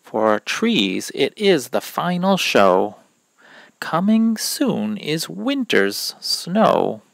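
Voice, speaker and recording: A man reading a rhyming children's picture book aloud in a deliberate, instructive manner. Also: a little high, very animated, gravelly, no room sound. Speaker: read-aloud reader.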